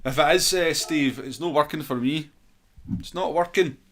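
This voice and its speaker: A man's voice: a little high, moderate pace, a bit bright, a little hiss or hum. A man hosting a gaming livestream, chatting casually and replying to viewers as he goes. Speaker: livestream host. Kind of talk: casual gaming stream chat.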